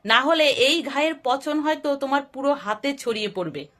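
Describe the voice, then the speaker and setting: Woman voicing a high-voiced, grave village doctor. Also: animated, normal speed, a bit bright. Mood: grave warning. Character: village doctor, stern and matter-of-fact.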